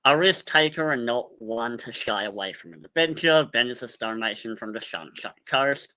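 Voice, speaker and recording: Casual young Australian podcaster, male, very bright tone, mid pitch, chatty and profane. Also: animated, moderate pace. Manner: chatty, swears casually, laughs at himself. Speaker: podcast host. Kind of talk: casual commentary reading cast bios.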